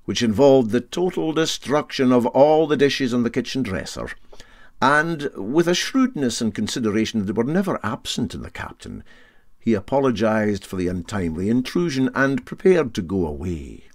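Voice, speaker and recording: A man's voice: medium pitch, expressive, moderate pace, no room sound. A man with a Scottish accent reading a story as a scripted audiobook narration.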